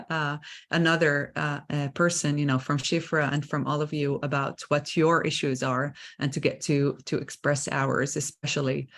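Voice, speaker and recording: A woman organizer speaks on an online panel in a hesitant way, with many pauses and filler sounds. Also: low-pitched. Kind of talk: panel remarks.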